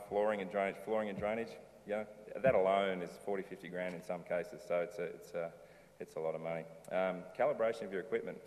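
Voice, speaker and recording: A middle-aged man giving a casual conference talk from a lectern, rambling loosely with many ums and restarts. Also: sits low. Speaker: conference speaker, brewery owner. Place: large dark auditorium stage. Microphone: lectern mic, filmed from far in the hall. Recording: reverberant hall, distant camera.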